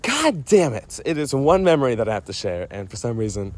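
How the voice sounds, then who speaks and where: A man's voice: medium pitch, big pitch swings, a little fast, a little mellow. Man, casual rambling monologue, self-interrupting, playful, self-mocking, personal voice memo. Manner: digressive, often interrupting himself and losing his thread. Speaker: storyteller recording a personal voice memo.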